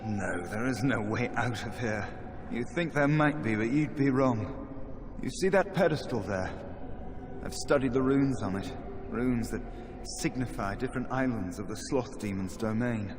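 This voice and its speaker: An actor playing a weary, despairing trapped mage in a video game, speaking in a halting, resigned, bitter manner. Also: male voice, mid-range voice, close to an everyday voice. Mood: weary resignation, then bitter, hopeless. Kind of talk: dramatic video game dialogue. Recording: game audio with noisy ambience behind.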